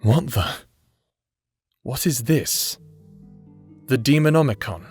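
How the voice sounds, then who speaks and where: A man's voice: mid-range voice, expressive, slow, husky. A man playing an earnest, principled prisoner in a fantasy audio drama, speaking in formal, careful words. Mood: urgent, wary. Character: earnest, principled male prisoner, cautious and determined. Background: music.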